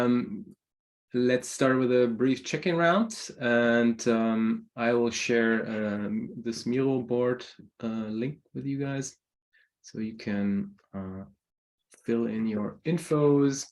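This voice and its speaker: A man from Germany facilitating a video-call meeting, relaxed and hesitant with frequent ums. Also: mid-range voice. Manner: hesitant, many ums, light laughs. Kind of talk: casual online meeting talk.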